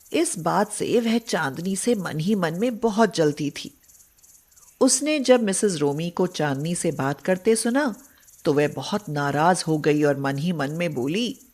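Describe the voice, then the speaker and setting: A woman narrating a moral storybook tale in a measured, scripted way. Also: on the low side.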